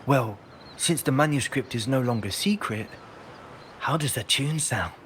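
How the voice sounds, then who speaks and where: A man's voice: medium pitch, a little slow, a bit bright, slight background noise, close to an everyday voice. A man playing a cautious, nervy townsman with a secretive manner in a video game. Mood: curious. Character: cautious, nervy male townsman hushing his gossiping friend.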